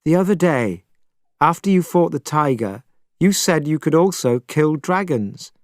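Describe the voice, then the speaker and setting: A man's voice: on the high side, lively intonation, unhurried, dry recording, studio quality, voice pushed up. A man doing a weepy young priest. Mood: whining. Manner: whining, tearful.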